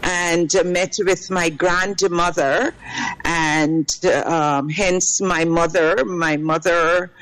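A woman's voice: lowish voice, fairly even intonation, slow, fairly bright tone, rough recording. A middle-aged Guyanese woman heading a cultural delegation, answering interview questions in a rambling way full of fillers and restarts.

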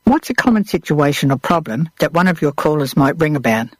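A woman's voice: low-pitched, lively intonation, fairly quick, husky, no room sound. A woman presenting and asking questions as a radio interviewer. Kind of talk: radio interview, scripted introduction.

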